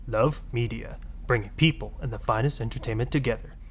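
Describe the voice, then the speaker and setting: A man voicing a glib, dismissive radio talk show host with a deadpan, salesmanlike manner. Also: mid-range voice, brisk, breathy edge. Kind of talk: satirical call-in radio presenting.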